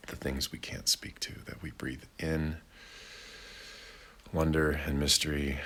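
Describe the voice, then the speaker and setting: A man leading a guided meditation in a gentle, deliberate way, with long pauses between short phrases. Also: extremely low pitch, vocal fry, a little hiss or hum. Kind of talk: guided meditation, slow and unhurried.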